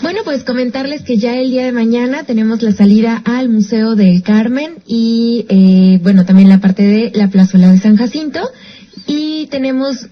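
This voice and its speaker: A woman co-hosts calmly and politely, with a voice a little higher than most. The radio sound is slightly dull. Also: normal speed. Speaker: radio co-host. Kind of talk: casual radio chat and announcements. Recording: slightly muffled radio broadcast.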